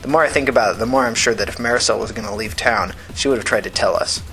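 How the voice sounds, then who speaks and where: A man's voice: on the low side, quick pace, sharp tone, soft background music, low-quality recording. A man voicing a blunt, hot-tempered young street man with slangy, foul-mouthed talk, in an audiobook reading. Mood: frank, companionable.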